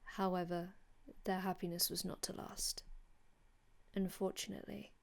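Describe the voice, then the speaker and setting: A woman voicing a melancholy vampire from an older time in an ASMR roleplay, speaking in a hushed, breathy way with dramatic pauses and formal, old-fashioned wording. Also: lowish voice, flat delivery. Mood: wistful, hushed storytelling. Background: music.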